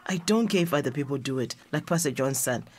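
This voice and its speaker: Troubled, low-voiced South African mother. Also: animated, quick pace, a little mellow. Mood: troubled, disapproving. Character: troubled, traditional middle-aged mother. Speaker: actor. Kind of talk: radio drama acting.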